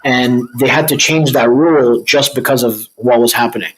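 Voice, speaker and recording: A man's voice: mid-range voice, fairly even intonation, normal speed, a little hiss or hum, low-quality recording. A man talking casually as an interview guest and business owner. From Egypt, by birth.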